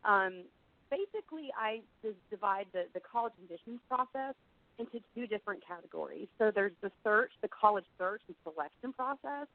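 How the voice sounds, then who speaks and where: A woman's voice: mid-range voice, lively intonation, fairly quick, dry recording. A middle-aged woman, slightly froggy from being under the weather, giving a conversational, explanatory webinar presentation as an admissions director. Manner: conversational, explanatory, frequent "you know". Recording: phone-like narrow band, dry.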